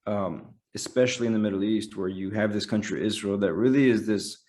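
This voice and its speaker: La guy founder being interviewed, lowish voice, straight to the point. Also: a little fast, a little mellow. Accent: American. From Los Angeles, United States. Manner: direct, matter-of-fact. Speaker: streaming company founder, guest. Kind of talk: casual interview answers.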